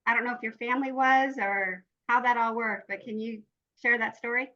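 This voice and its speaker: A woman's voice: fairly high pitch, fairly even intonation, a little fast, bright, forceful tone, highs cut off. A woman hosting a podcast, asking questions in a friendly, encouraging interviewer manner. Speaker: podcast host. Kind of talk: casual interview.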